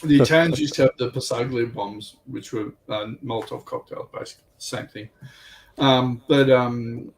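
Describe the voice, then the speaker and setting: A man's voice: medium pitch, moderate pace. A man chatting casually as a guest hobbyist, often repeating words as he explains, recorded at some distance from the mic. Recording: distant mic with faint background noise.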